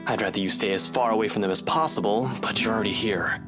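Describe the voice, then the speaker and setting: An actor playing a guarded, serious and terse investigator in a visual novel. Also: male voice, lowish voice, quick pace, natural voice. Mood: guarded warning. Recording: game audio over guitar music.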